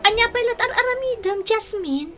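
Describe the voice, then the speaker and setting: A woman's voice: very high voice, animated, normal speed, fairly bright tone, faint music behind. A woman playing a pushy young best friend in a Filipino radio drama, with insistent coaxing. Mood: exasperated, insistent.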